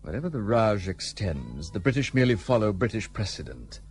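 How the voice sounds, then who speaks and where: A blunt, guarded gentleman, animated delivery. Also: lowish voice, fairly quick. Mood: curt, disapproving. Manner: formal, clipped, brusque. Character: guarded, blunt, bitter British gentleman in colonial India. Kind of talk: period radio play acting.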